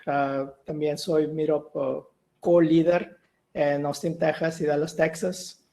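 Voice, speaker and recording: A middle-aged man from Mexico, giving a tech talk on a video call, relaxed and full of "eh" fillers. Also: fairly high pitch, slow pace, gravelly. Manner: relaxed, with many "eh" and "ah" fillers and restarts. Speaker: guest speaker, solution architect. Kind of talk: online tech meetup presentation.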